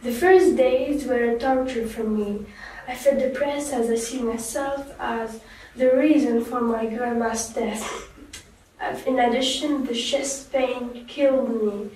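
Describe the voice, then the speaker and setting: A remorseful young woman, played by a woman tells her story slowly, stumbling and restarting. Her voice sits high. It sounds distant and echoey. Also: darkish tone, slight background noise. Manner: slow, halting, with restarts. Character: young woman survivor, remorseful and soft-spoken. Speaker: guest in a staged talk show. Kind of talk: scripted role-play interview. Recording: echoey room, far from mic.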